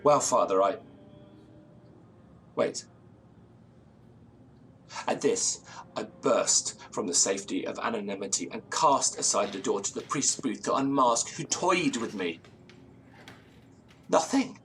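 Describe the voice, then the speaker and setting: An actor playing a haunted, frightened man, telling his tale in halting, theatrical, literary phrases for a gothic audio drama. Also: fairly low pitch, animated, fairly bright tone, slight background noise. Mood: haunted, tense. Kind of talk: gothic audio drama, first-person storytelling.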